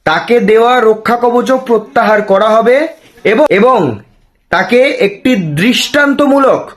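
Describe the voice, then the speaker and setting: A man's voice: very high voice, normal speed. A man with a West Bengal accent giving opinionated political commentary, challenging with rhetorical questions and dropping in English words. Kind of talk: opinionated news commentary to camera.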